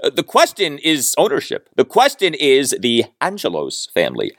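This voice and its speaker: A man's voice: mid-range voice, wildly swooping pitch, normal speed, dry recording, clean studio sound. A man hosting a sports podcast, delivering solo commentary in an emphatic way, repeating phrases for stress.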